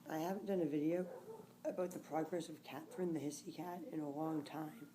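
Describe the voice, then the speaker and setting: A woman vlogging at home in a casual video diary, speaking hoarsely and faintly, often near a whisper. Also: deep voice, fairly even intonation, a little fast, mellow, low-quality recording. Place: at home, lying on the floor.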